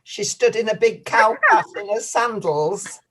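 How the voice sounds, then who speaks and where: A woman chatting casually with friends in a gently amused way. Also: medium pitch, unhurried, low-quality recording. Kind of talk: casual chat.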